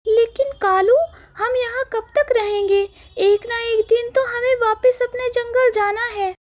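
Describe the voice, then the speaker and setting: Cartoon female crow accomplice, eager, fretful, very high; voiced by a female storyteller. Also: fairly even intonation, unhurried, dry recording, lo-fi sound. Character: female bird accomplice, wife of the thieving crow. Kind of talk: animated moral story dialogue.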